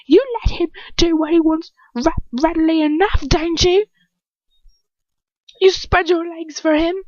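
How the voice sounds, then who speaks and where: A woman's voice: extremely high pitch, fairly even intonation, unhurried, darkish tone, dry recording, lo-fi sound, pitched above the performer's own voice. A woman voicing a menacing male wizard villain in a storybook reading, a crude bully with a mocking, hissing delivery. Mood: furious, hissing.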